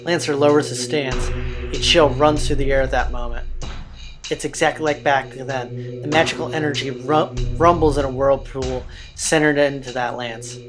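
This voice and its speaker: A man's voice: medium pitch, steady tone, normal speed. A man hosting a let's play, reading visual novel narration aloud. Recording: music playing behind the voice. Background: game soundtrack.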